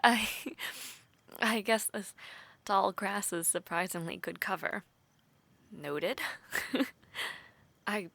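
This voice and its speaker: A woman's voice: medium pitch, creaky, bright, forceful tone, dry recording, exaggerated voice. A young woman voice acting a playful, teasing young soldier-to-be in an intimate, conversational audio roleplay. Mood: playful, amused. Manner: teasing, chuckling, conversational with sighs and pauses.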